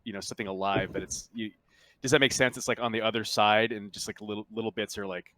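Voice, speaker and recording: A man's voice: on the low side, steady tone, quick pace, pushed and bright, slight background noise. A man running a tabletop horror game, narrating off the cuff and searching for words as he describes the scene. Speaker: game master.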